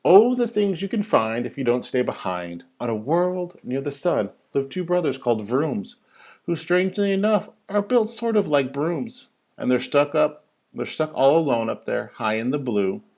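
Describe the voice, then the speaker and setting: A man reading a children's rhyming picture book aloud like a teacher, with a theatrical, singsong delivery and drawn-out emphasis. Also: mid-range voice, very animated, darkish tone.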